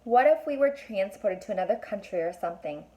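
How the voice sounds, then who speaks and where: A woman voicing an excitable, anxious young twin boy in a storybook, exclaiming and incredulous. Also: medium pitch, a little fast, close to an everyday voice. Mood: anxious, wondering.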